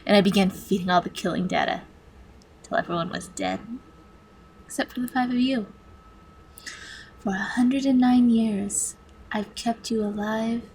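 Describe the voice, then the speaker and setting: A sadistic supercomputer villain played by a woman delivers a game monologue full of hatred. The words are slow and drawn out, theatrical and menacing, sinking at times into whispers as it taunts its captives. There is some noise behind the voice. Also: a little high, pitched above the performer's own voice, rough recording. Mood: cold, grandiose.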